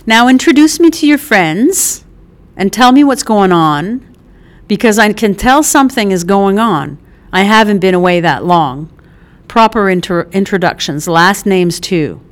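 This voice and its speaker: A woman reader voicing a brash, affectionate middle-aged mother just out of prison, loud, bossy and teasing, full of motherly endearments. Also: mid-range voice, animated, unhurried, natural voice.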